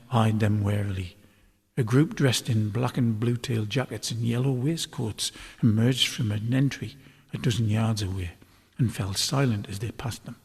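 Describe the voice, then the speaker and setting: A man reading a story aloud as scripted narration, in a measured, dramatic literary manner. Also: mid-range voice, a little mellow, breathy edge. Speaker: author reading a novel chapter aloud. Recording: long hall-like reverb.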